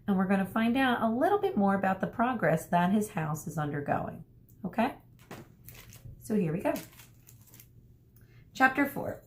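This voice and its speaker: A woman speaking as an elementary school teacher, reading a story aloud to children and pausing to explain things. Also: fairly low pitch. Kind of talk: read-aloud lesson for children.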